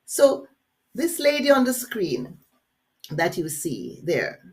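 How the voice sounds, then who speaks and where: A woman from Jamaica with a Jamaican accent, leading a live workshop webinar in an energetic, engaging way and putting questions straight to her audience. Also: mid-range voice, takes it slowly. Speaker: workshop facilitator.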